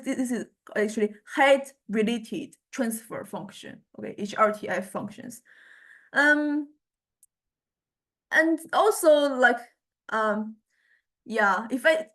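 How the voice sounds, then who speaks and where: A woman giving an informal lecture in a hesitant, halting way, often repeating and restarting her words. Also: a little high, fairly bright tone.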